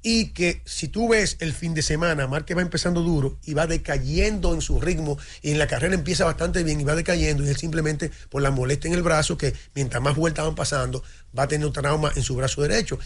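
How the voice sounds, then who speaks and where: A man talking sports on a studio talk show, rushing through his points at high speed. His voice sits somewhat high, and he stumbles, restarts and repeats himself as he goes. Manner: animated, talks fast with restarts and repeats. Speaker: motorsport commentator.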